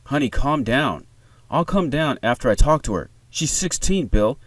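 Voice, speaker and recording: A man reading the part of a concerned husband and father in a screenplay, soothing and steady in manner. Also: mid-range voice, moderate pace, no room sound, pitched above the performer's own voice, close to an everyday voice. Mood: concerned, calming.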